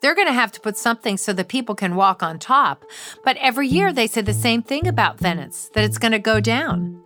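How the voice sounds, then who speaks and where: A woman's voice: on the high side, animated, a little fast, fairly bright tone, faint music behind. Woman acting confident, breezy glamorous female estate agent. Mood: earnest, emphatic.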